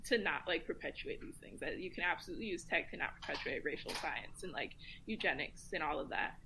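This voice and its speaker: A woman speaking as a guest commentator in a casual round-table discussion, thoughtful and measured, with frequent pauses to think. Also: low voice, animated, vocal fry, background noise.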